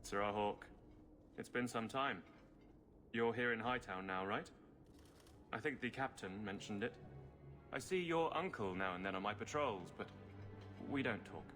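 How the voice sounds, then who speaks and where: A man's voice: fairly low pitch, lively intonation, a little slow, long pauses, fairly bright tone, faint music behind. An actor playing an amiable, modest city guardsman in a video game, plain-spoken and easygoing. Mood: friendly, casual. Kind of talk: video game dialogue acting.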